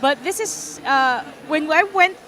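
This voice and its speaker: A woman's voice: high voice, expressive, slow, bright, forceful tone, a little hiss or hum. A woman from the Philippines, a game developer, chattily explaining her game in an interview.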